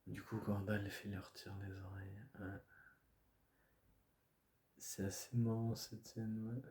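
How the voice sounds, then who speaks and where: A man talks casually to camera, hoarse and stuffy from a cold. His voice sits very low and he speaks slowly, stopping and restarting often. The chat wanders, full of fillers. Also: little pitch movement, a little mellow. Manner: hesitant, rambling, many fillers and restarts. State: hoarse, stuffy-nosed, coughing. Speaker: video creator.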